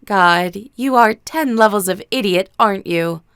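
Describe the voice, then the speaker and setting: A woman's voice: medium pitch, a little slow, fairly bright tone, clear, resonant tone, no room sound, clean studio sound, lightly acted. A woman playing a caring young female warrior in an audio drama, scolding out of concern. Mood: worried scolding.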